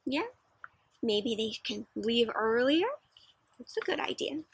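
A young woman doing an interactive read-aloud for children, speaking in an expressive, emphatic way. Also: on the high side, slow pace, gravelly, slightly rough, no room sound. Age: young adult. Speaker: children's storybook reader. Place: outdoors in a playground.

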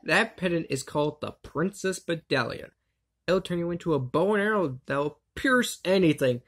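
A woman voicing a calm, boastful male magician teacher in an amateur anime fandub, smug and instructing. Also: lowish voice, moderate pace, pitched below the performer's own voice. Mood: explaining.

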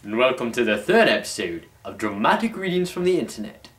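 A man's voice: mid-range voice, very animated, brisk, a bit bright. A young man giving a pompous, formal, mock-dramatic story reading as host, recorded in a small room on a camera microphone. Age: young adult. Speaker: host and reader. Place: small dim room at home. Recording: roomy, distant camera microphone.

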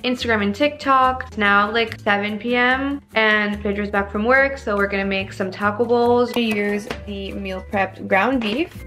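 A woman's voice: medium pitch, a bit bright. Chatty, upbeat young woman vlogger over music. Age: 20s. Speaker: lifestyle vlogger. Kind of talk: casual chat to camera. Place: indoors at home, kitchen and living room. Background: background music.

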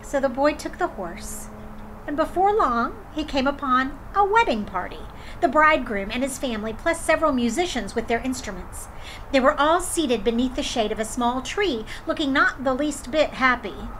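A woman storyteller reading a children's folktale aloud in an expressive way. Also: high voice, normal speed, a little hiss or hum, low-quality recording. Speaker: drama storyteller.

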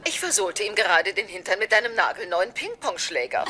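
A woman's voice: medium pitch, lively intonation, a little fast, close to an everyday voice. An actress playing a detached, analytical middle-aged mother in a dubbed sitcom, formal and clinical. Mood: coolly analytical. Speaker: sitcom character. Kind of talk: German-dubbed sitcom acting. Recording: sitcom soundtrack with loud noise, laughter.

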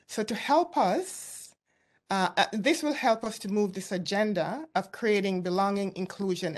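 A middle-aged woman giving an earnest, measured keynote talk over a video call from her office, with some fillers and restarts. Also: lowish voice. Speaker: keynote speaker. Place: office with window behind. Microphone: laptop webcam on video call.

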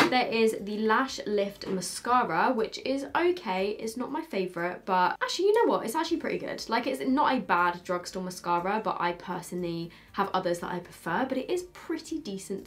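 A woman's voice: medium pitch, a bit bright. Young British woman, twenties, chatty beauty vlogger, casual desk-side unboxing. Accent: British English. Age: 20s. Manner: chatty, thinks aloud, quick asides. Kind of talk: casual chat, unboxing makeup. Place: bedroom filming setup, indoors.

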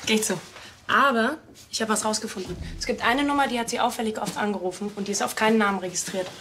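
A woman playing a matter-of-fact young police officer in a radio play, brisk in manner. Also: medium pitch, background noise, natural voice.

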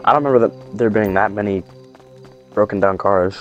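A man's voice: lowish voice, fairly quick, a bit bright, highs cut off, soft background music, natural voice. A man playing a jittery, impatient survivor who talks in casual, sweary slang in an improvised video game roleplay. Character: jittery, impatient male survivor eager to leave.

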